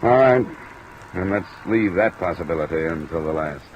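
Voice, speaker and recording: A man's voice: deep voice, moderate pace, muffled recording. A man playing a tough, probing American insurance investigator in a 1950s radio drama, clipped and dry in delivery. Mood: pressing, skeptical. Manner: clipped, persistent questioning, dry first-person narration. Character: tough, probing male freelance insurance investigator, narrating his case. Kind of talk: 1950s radio detective drama acting and narration. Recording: old radio recording with steady rain noise.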